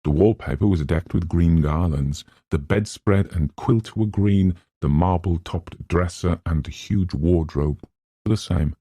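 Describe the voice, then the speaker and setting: A man's voice: low-pitched, unhurried, mellow, slightly rough, no echo. A man reading literary fiction as an audiobook narrator, in scripted storytelling style.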